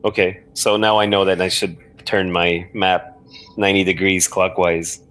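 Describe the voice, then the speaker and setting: A man's voice: low voice, little pitch movement, normal speed, fairly bright tone. A man chatting casually as a game player, thinking aloud and tentatively suggesting his next moves. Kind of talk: casual chat, talking through game moves.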